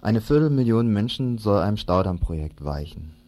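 Male news presenter and interviewer, lowish and mellow, in a dry close sound. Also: big pitch swings, moderate pace, no echo.

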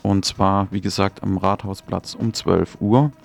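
A man presenting local radio, giving event announcements in a matter-of-fact, deliberate way. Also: sits low, flat delivery, vocal fry.

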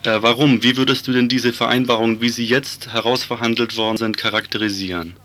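A man's voice: mid-range voice, fairly even intonation, quick pace, a bit bright. A man working as a radio journalist, reading a scripted political report and putting interview questions in a studio.

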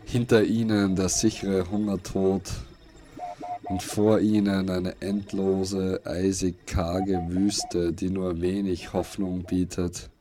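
A man reading scripted narration for an audio play in a deliberate, brooding, dramatic way, with pauses. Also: deep voice, flat delivery, mellow. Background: music and noise loops under the voice.

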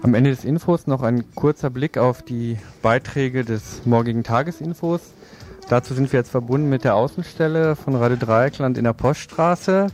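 A man's voice: mid-range voice, moderate pace, darkish tone, soft background music. A man hosting a radio news programme, handing over to a colleague. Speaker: radio host.

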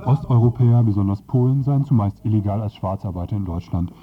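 A man's voice: lowish voice, fast talker. A man reading a scripted satirical mock report as a radio presenter. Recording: dull lo-fi radio recording over music. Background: music.

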